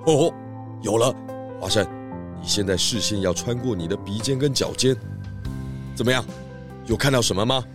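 A man playing a confident, thoughtful pig detective in a children's audio drama, explaining clues deliberately. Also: medium pitch, music in the background, lightly acted. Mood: excited, discovering.

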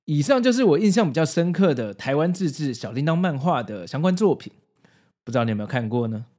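A man's voice: on the high side, lively intonation, fast talker, darkish tone. A man with a Taiwanese Mandarin accent hosting a scripted, nostalgic retrospective in an explanatory, conversational way. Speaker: host of a retro pop-culture video series.

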